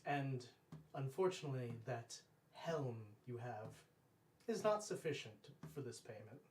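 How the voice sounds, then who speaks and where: A man in his thirties running a tabletop roleplay as game master, speaking formally and deliberately in character. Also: mid-range voice, lively intonation. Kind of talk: tabletop roleplay narration, speaking as a fae ruler. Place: indoor room, live-streamed tabletop game. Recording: roomy, distant mic, faint noise.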